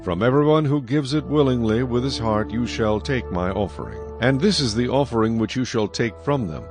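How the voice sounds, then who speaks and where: A man narrating scripture in a measured, solemn, scripted style for a dramatized audio Bible. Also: mid-range voice, lively intonation, over music.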